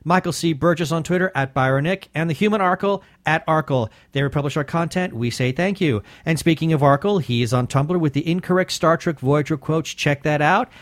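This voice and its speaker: A man's voice: fairly high pitch, a little fast, few pauses. A man co-hosting a podcast, casually reading out credits and thanks. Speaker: podcast co-host.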